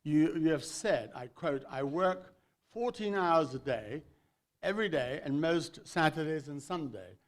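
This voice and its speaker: A man's voice: fairly high pitch, animated, a little slow. A man interviewing a guest onstage, asking questions with hesitations and stammers. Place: event hall with live audience.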